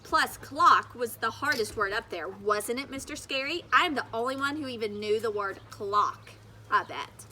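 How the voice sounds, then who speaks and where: A woman voicing a bossy, excitable first-grade girl who tattles and shows off in a children's storybook. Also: fairly high pitch, moderate pace, a little hiss or hum. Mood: boastful. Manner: smug, excitable, loud. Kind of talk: acted children's storybook read-aloud.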